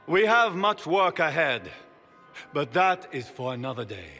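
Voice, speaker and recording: A proud soldier emperor speaks slowly and grandly in a game cutscene. His voice swings widely in pitch as he addresses a court. A long hall reverb surrounds him, with music underneath. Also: big pitch swings, pushed and bright, full character voice. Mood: solemn. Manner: grand, measured speechmaking. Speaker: newly crowned emperor. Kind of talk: video game cutscene acting.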